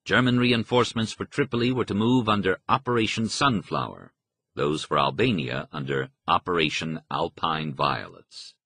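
Low, gravelly-edged male audiobook narrator. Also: moderate pace, a bit bright, no room sound. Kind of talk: scripted narration of a history book.

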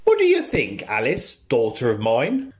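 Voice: medium pitch, huge pitch swings, a little slow. Swooping, brassy pantomime mother played by an actor. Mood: brisk, hearty. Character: bawdy middle-aged mother running a shop, pantomime cook. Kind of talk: radio pantomime acting.

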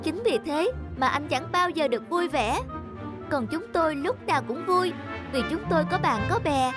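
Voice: high voice, normal speed, lightly acted. An actor voicing a small, good-natured sparrow in a cartoon, chirpy and earnest. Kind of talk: cartoon dub acting. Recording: music under the voice.

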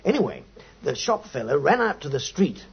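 A man's voice: on the high side, sing-song, moderate pace, vocal fry, husky, full character voice. A man playing a chatty, slightly sheepish English policeman with a colloquial manner in a 1950s radio drama. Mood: relishing the tale. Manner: colloquial, hesitant, chuckling storyteller. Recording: dull 1950s radio recording.

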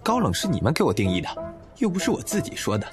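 A man's voice: on the high side, huge pitch swings, fast, music in the background. A man playing a proud, flustered young male college student in an audio drama, indignant and protesting. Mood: exasperated. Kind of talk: Chinese audio drama acting.

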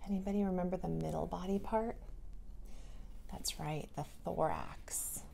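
Slow-paced woman teaching young children. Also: fairly low pitch, mellow, slight background noise. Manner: clear simple questions, careful teacherly delivery. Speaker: preschool teacher. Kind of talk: read-aloud lesson for young children.

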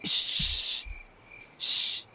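A man with a Northern Nigerian accent, playing a boastful, touchy village elder who talks in an animated, exclamatory way in a radio drama. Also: extremely slow, full character voice. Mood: defensive. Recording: busy market crowd behind. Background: market crowd chatter.

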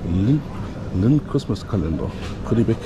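A man vlogging as he walks through a large supermarket, making short, halting remarks with fillers, recorded on a body-worn camera. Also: on the low side, expressive, very noisy. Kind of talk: casual walking commentary.

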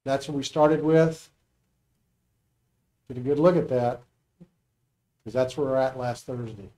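Man giving a medium-pitched progress update. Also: a little slow, mellow. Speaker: school district operations official. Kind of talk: construction update presentation. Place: school board meeting room.

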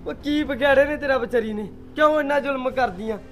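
A man's voice: very high-pitched, brisk, over music. A man playing a young husband urging his mother to stop mistreating his wife. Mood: reproachful. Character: young husband and son, peacemaker.

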